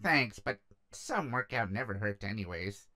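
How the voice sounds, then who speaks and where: Man voicing a bright, kindly plump demon god. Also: fairly low pitch, lively intonation, a little slow, clean tone, no echo. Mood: earnest. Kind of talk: comic dub voice acting.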